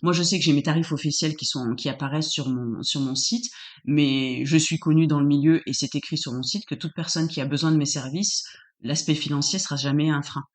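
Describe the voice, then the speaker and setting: A woman's voice: low voice, steady tone, a little fast. Chatty woman, doula guest. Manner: searching for words, frequent restarts. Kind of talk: casual interview answers.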